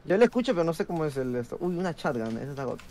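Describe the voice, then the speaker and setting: A man's voice: on the high side, very animated, rapid-fire, a little mellow. A man chatting casually with friends while playing an online video game.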